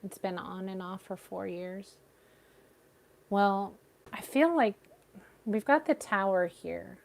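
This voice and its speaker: A woman giving a live tarot reading with a soothing, gentle voice, talking straight to her viewers. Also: medium pitch, animated, slow pace, vocal fry. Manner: gentle, reassuring, addresses viewers by name. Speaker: tarot reader.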